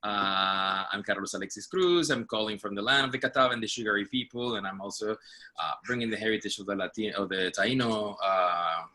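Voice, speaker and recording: A man's voice: on the low side, brisk, sharp tone. A man from Rico, an arts director and teacher, introducing himself on a video call in a hesitant, earnest way, searching for words. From Puerto Rico. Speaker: artistic director and university faculty member.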